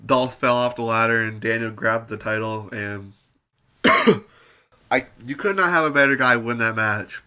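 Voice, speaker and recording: A man giving a rambling, casual spoken review full of fillers and mild swearing, his voice turning raspy with occasional coughs. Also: medium pitch, moderate pace, sharp tone. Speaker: wrestling show reviewer.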